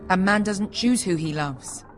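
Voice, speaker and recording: A woman's voice: medium pitch, a little slow, music in the background, lightly acted. An actress playing a brash, cynical female mercenary in a video game, blunt, sardonic and foul-mouthed in manner. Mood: blunt, loyal. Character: brash, cynical female sellsword, loyal but greedy. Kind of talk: video game character acting.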